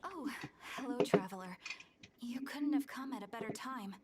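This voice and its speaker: A woman voicing a polite, earnest adventurers' guild receptionist in an anime game, with formal, measured delivery. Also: on the high side, distant mic, a loud crowd, lightly acted. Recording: roomy game audio from afar, music behind.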